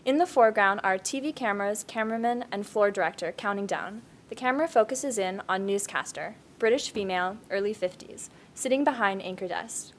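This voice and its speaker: A woman's voice: medium pitch, a little fast, few pauses, a little hiss or hum. A woman giving scripted narration, reading screenplay directions at a table read. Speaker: stage-direction reader.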